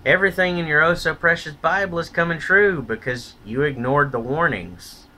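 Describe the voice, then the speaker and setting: A man's voice: a little high, normal speed, pushed and bright. A man delivering a blunt, profane, contemptuous opinion rant as a solo vlogger.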